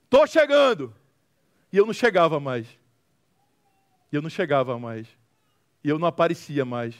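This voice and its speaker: A man with a Brazilian accent giving a motivational testimony to an audience, telling stories dramatically with emphatic repetition and humor. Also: sits high. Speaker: guest speaker, social movement founder.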